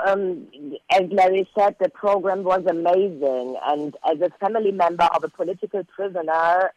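A high-pitched man whose first language is Persian delivers a prepared statement with earnest, measured phrasing. He leans on key words for emphasis. The sound is dry and close, with no room around it. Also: a little mellow, dry recording, muffled recording. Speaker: guest, relative of a political prisoner.

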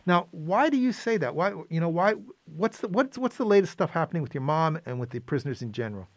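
A man's voice: fairly high pitch, animated, dry recording. Rambling male interview host. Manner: rambling, halting questions full of fillers. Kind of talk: conversational interviewing.

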